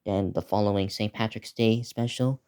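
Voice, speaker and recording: A teenage male video creator giving a casual, unscripted preview, talking in a halting, repetitive way as he lists things. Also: fairly low pitch, steady tone, darkish tone, smooth. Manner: halting, repetitive, listing place names.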